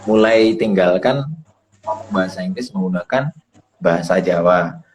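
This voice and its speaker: A man's voice: deep voice, moderate pace, a little mellow, poor audio. A man from East Java giving a casual live-stream talk as an English teacher, explaining and repeating his points with rhetorical questions. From Kediri, East Java, Indonesia. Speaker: English teacher, guest speaker. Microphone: phone or laptop mic on a live video call.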